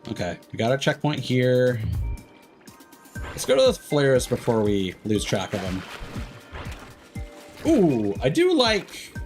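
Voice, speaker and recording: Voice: mid-range voice, wildly swooping pitch, slow pace, long pauses, soft background music. A game streamer giving casual live commentary, chatting and thinking aloud while playing.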